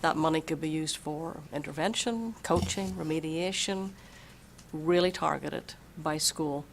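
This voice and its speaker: A superintendent who is losing their voice a bit, explaining a budget at a school board meeting in a measured way and repeating words while thinking. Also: mid-range voice, vocal fry, background noise. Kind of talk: budget presentation at a public meeting.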